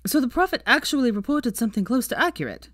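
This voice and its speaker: A woman's voice: a little high, sing-song, fast talker, fairly bright tone, no echo, studio quality, pitched above the performer's own voice. A woman voicing a brash, teasing male friend in a story.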